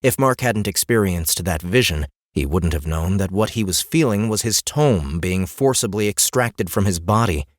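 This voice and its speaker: Male fantasy audiobook narrator, deep register, dramatic phrasing, very dry studio sound. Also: expressive, no room sound. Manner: deliberate dramatic phrasing with pauses.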